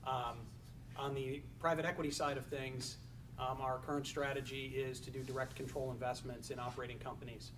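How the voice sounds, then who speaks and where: Businesslike man on a panel, flat voice. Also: medium pitch. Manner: steady, businesslike, some fillers. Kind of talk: panel discussion. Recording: distant mic, noisy room.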